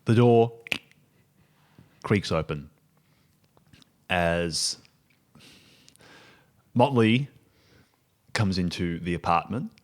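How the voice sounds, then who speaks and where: A man narrating an improvised tabletop game as game master, in a halting, jokey storytelling manner. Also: low voice, animated.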